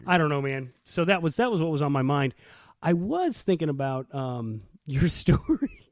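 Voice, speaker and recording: An American man around his thirties or forties co-hosting a podcast, telling everyday stories in slangy, animated casual chat. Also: on the high side, moderate pace, dry recording, clean studio sound. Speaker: podcast co-host.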